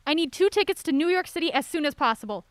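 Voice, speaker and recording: A woman playing an excitable, resolute heroine on the run in an audio drama, earnest and urgent. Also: sits high, fast talker, no room sound.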